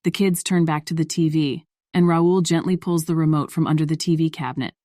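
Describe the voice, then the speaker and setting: A woman's voice: low-pitched, fairly even intonation, fairly quick, mellow, no echo, studio quality. A woman narrator reading scripted story narration for an audio drama.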